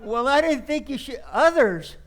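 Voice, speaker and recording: A man's voice: very high voice, animated, moderate pace, some chatter behind. A man playing a flustered, aghast young nephew in an old-time radio comedy, stammering and repeating himself in exasperation.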